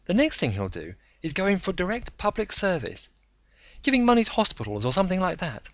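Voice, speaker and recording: A man's voice: sits high, huge pitch swings, brisk, a bit bright, dry recording, dull audio, pitched above the performer's own voice, full character voice. A man voicing a sceptical woman agent with a dry, dismissive manner in an audiobook story. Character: sceptical, dismissive woman colleague at an intelligence agency.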